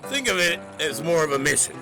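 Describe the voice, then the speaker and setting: Man as gravelly editor, blunt and bossy, higher pitch, music underneath. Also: sing-song, a little fast, rough recording. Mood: gruff. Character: gruff blustery male newspaper editor.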